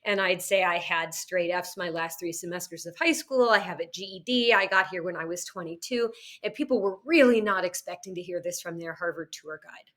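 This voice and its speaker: A woman's voice: on the low side, brisk, few pauses, fairly bright tone. A middle-aged woman, a podcast guest, telling her own story candidly and plainly. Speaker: guest, educational crisis manager. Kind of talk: interview storytelling.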